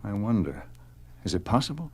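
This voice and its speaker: Casting: a scholarly scientist, very low voice, lively, explaining patiently. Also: male voice, normal speed, slightly rough. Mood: thoughtful. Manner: explanatory, thoughtful. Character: learned paleontologist captain, middle-aged man. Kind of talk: 1970s radio drama acting.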